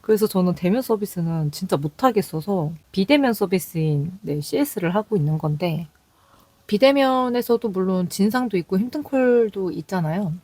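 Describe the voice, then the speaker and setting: A woman's voice: mid-range voice, expressive, normal speed, darkish tone, no room sound. A young woman talking candidly about her call center work in a casual vlog, with a Seoul accent. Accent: Seoul Korean. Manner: chatty, candid storytelling with quoted callers. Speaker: call center agent sharing work stories.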